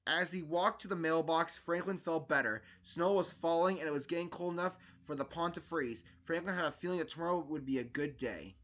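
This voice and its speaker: A man hosting a read-along, reading a children's picture book aloud with expressive, emphatic delivery. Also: fairly high pitch, brisk, few pauses, highs cut off. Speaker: storyteller, video host.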